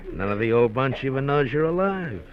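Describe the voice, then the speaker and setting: An actor playing a menacing, smooth-talking gangster who mocks and threatens with chuckles, in a 1950s radio drama. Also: male voice, medium pitch, animated, moderate pace, a crowd in the background, close to an everyday voice. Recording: dull lo-fi 1950s radio recording with faint hiss.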